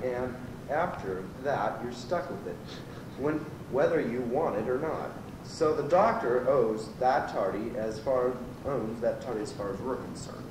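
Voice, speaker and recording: A man's voice: medium pitch, lightly acted. A man playing an earnest, rambling teenage goat who works as a rental clerk in an amateur radio comedy. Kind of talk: amateur old-time radio comedy acting. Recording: echoey, distant, noisy amateur tape.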